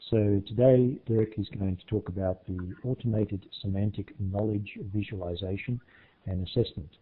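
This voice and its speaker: A man's voice: on the low side, steady tone, moderate pace. A man hosting a webinar, formally introducing the next speaker in brief words. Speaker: webinar host. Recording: phone-like webinar audio.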